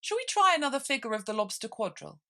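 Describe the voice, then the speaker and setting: An abrupt, bossy storybook gryphon, acted out by a woman. The voice is raised and very animated, with an impatient temper that orders others about. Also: high voice, quick pace, pitched above the performer's own voice, exaggerated voice. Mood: brisk. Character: brusque, impatient Gryphon creature.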